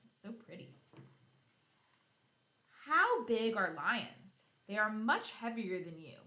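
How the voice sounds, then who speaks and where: Animated woman teacher reading aloud to children. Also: mid-range voice, reverberant, poor audio. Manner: animated, instructive, asks questions and answers them herself. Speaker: elementary school teacher. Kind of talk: read-aloud lesson for young children, explaining as she reads.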